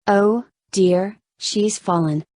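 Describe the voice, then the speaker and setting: A woman narrator plays a motherly hospital nurse with a slight Southern lilt, folksy and admonishing. The pitch is average for a woman, in a close, dry audiobook recording. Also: slow, a little mellow, no echo, natural voice. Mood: fussing, kindly.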